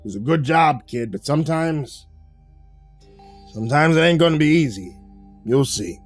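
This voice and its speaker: Man playing a brash, folksy middle-aged boss, higher-pitched. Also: animated, faint music behind. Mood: cajoling, folksy. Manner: slangy, casual, cajoling, hesitant pauses.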